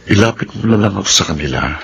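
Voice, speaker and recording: An actor playing an earnest, devoted suitor in a Filipino radio drama, with a Filipino accent. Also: male voice, low-pitched, lively intonation, fast, vocal fry, fairly bright tone, a little hiss or hum, full character voice. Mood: earnest, pleading.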